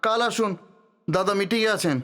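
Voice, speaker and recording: An actor playing a suspicious party-office gatekeeper in an audio drama, challenging and dismissive. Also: male voice, high-pitched, moderate pace, pushed and bright.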